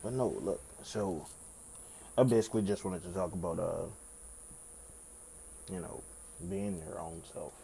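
A young man with a raspy voice hosting his own podcast in loose, rambling casual chat, swearing freely. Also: deep voice, unhurried, pauses often, warm tone, slight background noise, poor audio. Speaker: podcast host.

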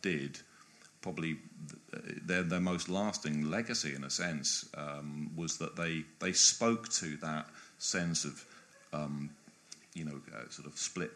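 A man's voice: extremely low pitch, slow pace, pushed and bright. A man speaking as a guest biographer in an interview, giving long discursive answers that often stop and restart mid-phrase.

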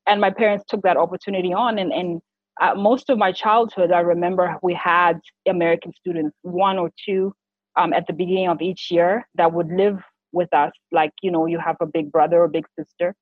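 A woman's voice: fairly low pitch, steady tone, brisk, no room sound. A woman in her thirties from Zimbabwe, a PR and communications strategist, talking about her career in a conversational interview with many restarts and fillers. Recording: tinny phone-like line.

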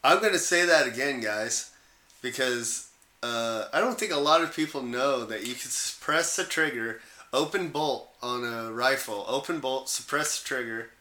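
A man's voice: mid-range voice, moderate pace, bright, forceful tone, echoey room, slight background noise. A man co-hosting a podcast in casual chat, speaking in an informal, blunt way with the odd mild swear. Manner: informal, blunt, mildly profane. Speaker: podcast co-host. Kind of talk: casual chat about guns.

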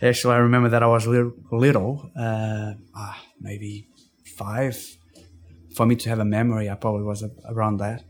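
Portuguese-accented male guest, lowish voice, speaks haltingly with many restarts. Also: level tone. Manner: halting, with frequent restarts and fillers. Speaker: interview guest. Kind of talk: casual storytelling.

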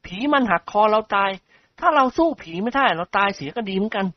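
A reader voicing a loud, reckless young man who shouts bluntly. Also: medium pitch, sing-song, fast, dry recording, highs cut off, pitched above the performer's own voice, natural voice.

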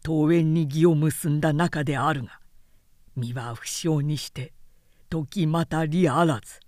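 A humble, sorrowful lord addresses his sworn brother in grave literary phrases, as a woman narrator voices him. The words come slowly and formally, with a dry storybook sound. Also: sits low, steady tone, a little mellow, no room sound. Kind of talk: audiobook character acting.

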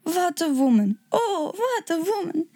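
A woman's voice: very high-pitched, very animated, unhurried, warm tone, pitched above the performer's own voice, heavily acted. A woman voicing a proud, effusive king from a storybook with a German accent, speaking in a grand, exclamatory, formal way. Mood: admiring, exclamatory. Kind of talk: storybook character reading.

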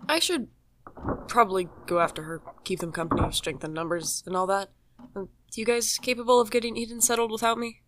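A woman's voice: mid-range voice, fairly quick, noisy background, natural voice. A woman playing a young, caring friend with dry, casual banter in an audio drama. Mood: concerned. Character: young Micah, teasing, caring friend and host. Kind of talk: naturalistic audio drama acting.

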